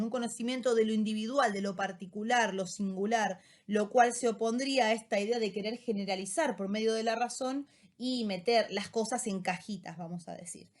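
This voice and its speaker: A woman teacher with an Argentine accent, explaining a text in a relaxed lecture style and often checking in with short tag questions. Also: fairly high pitch. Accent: Argentine Spanish. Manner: casual rioplatense slang. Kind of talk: recorded lecture summary.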